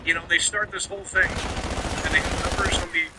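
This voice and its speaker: A man's voice: high-pitched, fairly even intonation, brisk, heavy background noise. A man hosting a livestream in casual, opinionated, rambling monologue with some swearing as he plays a game. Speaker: livestream host. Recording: loud game music and gunfire behind. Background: video game music, gunshots, vehicles.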